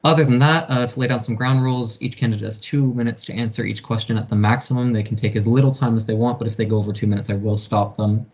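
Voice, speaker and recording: A man's voice: medium pitch, fairly even intonation, fast, hardly any pauses, a little mellow. A man moderating a student election debate in an orderly, procedural way.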